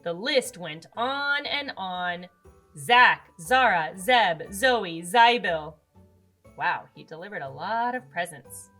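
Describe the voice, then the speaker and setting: A woman reading a children's storybook aloud as narrator, expressive and with dramatic emphasis. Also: on the low side, slow. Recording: music under the voice.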